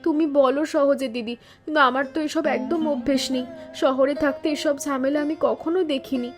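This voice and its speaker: A woman playing a young, caring but anxious city-bred younger daughter-in-law in an animated family story, expressive and full of questions. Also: high-pitched, a little fast, over music, close to an everyday voice.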